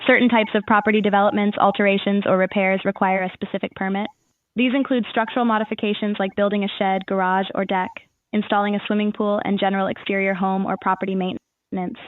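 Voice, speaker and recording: Lady ai assistant voice, quick formal info answers, tinny phone sound, no echo. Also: mid-range voice, level tone. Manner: formal, measured customer-service phrasing. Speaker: AI voice assistant for a town's services. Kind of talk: scripted, formal informational answers.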